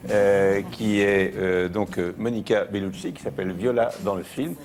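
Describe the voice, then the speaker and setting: A man hosting a live television talk show, introducing guests and the film briskly. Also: fairly low pitch, steady tone, darkish tone. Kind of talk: live TV presenting. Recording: live studio with audience noise.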